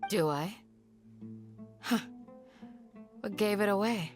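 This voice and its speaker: An actress playing a composed, righteous woman warrior in a video game, speaking in a measured, formal, stern manner. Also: mid-range voice, soft background music, lightly acted. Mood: dry restrained anger.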